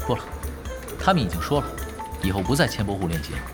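A man's voice: lowish voice, very animated, fast talker. An actor playing a sly, shameless, laid-back young male gamer in a Chinese audio drama, teasing and mock-innocent. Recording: music behind the voice.

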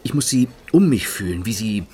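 Ein Schauspieler spielt in einem Hörspiel einen leidenschaftlichen, gefahrensüchtigen Gentleman-Dieb. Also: on the low side, expressive, moderate pace, lightly acted. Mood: passionate. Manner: passionate, savoring words. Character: thrill-seeking gentleman thief, exuberant. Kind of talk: radio play acting.

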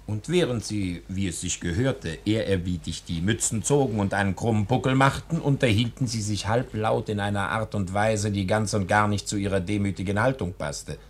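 An actor playing a wry storyteller, narrating a bawdy old tale in theatrical 1940s radio style. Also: male voice, lowish voice, normal speed, few pauses, slightly rough. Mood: wry, relishing.

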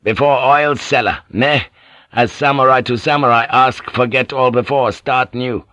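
A man voicing a scheming warlord who speaks halting, clipped English. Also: medium pitch, no room sound, pitched above the performer's own voice, lightly acted. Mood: persuasive. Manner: clipped broken English, insistent, softly persuasive.

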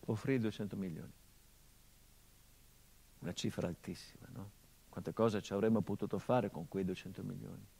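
An actor playing a hardened, grieving middle-aged son, delivering a measured theatrical monologue that drops to hushed tones. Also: male voice, fairly low pitch, fairly even intonation, vocal fry, breathy edge, low-quality recording. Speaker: stage actor.

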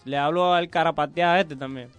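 A man's voice: a little high, fairly even intonation, a little fast, faint music behind. A young Puerto Rican man co-hosting a radio show, teasing and rambling between jokes before introducing a song. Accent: Puerto Rican Spanish. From Puerto Rico. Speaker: radio co-host. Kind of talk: joking radio banter and music introduction.

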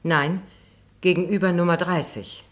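A woman's voice: on the low side, fairly even intonation, a little slow, lightly acted. An actress playing a plain woman in her thirties testifying in a terse, careful, matter-of-fact way in a German radio drama. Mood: guarded, precise. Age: 30s. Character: plain, precise 39-year-old single woman testifying.

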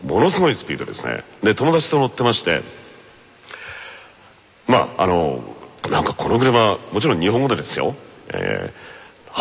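A man in his forties with a very husky, lowish voice hosts a radio show. His polite storytelling swings widely in pitch, with theatrical drawn-out phrases. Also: fairly bright tone, in a large hall. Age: 40s. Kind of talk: comic anecdote and radio hosting.

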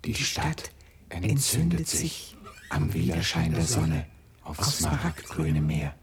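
A man narrating a literary radio play with measured, pointed phrasing. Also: a bass voice, sing-song, crowd noise. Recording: loud music behind the narration. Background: loud music.

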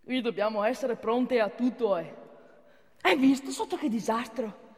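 A woman's voice: on the high side, lively intonation, normal speed, a little mellow, big-room sound, a little hiss or hum, lightly acted. An actress playing a fussy, officious, pious female police officer in a comic theatre monologue. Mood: startled, scolding. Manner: officious, formal wording, fussy.

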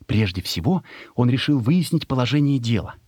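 Husky male storybook narrator. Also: mid-range voice, sing-song, normal speed, a little mellow, no room sound.

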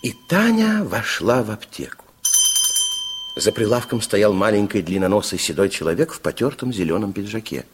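A man's voice: lowish voice, sing-song, moderate pace, background noise. A man playing a kindly, playful storyteller in a children's radio play, speaking in a confiding way. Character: kindly fairy-tale narrator, playful and confiding.

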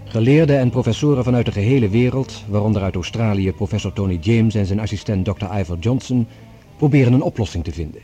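A man narrating a radio drama from a script in a measured, formal manner as announcer. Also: on the low side, mellow, faint music behind.

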